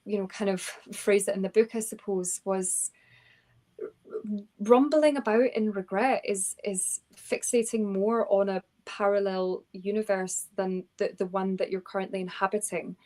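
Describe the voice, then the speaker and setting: A woman's voice: medium pitch, steady tone, measured pace, warm tone. A woman author being interviewed, talking earnestly and reflectively, often restarting her sentences with fillers. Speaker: author guest.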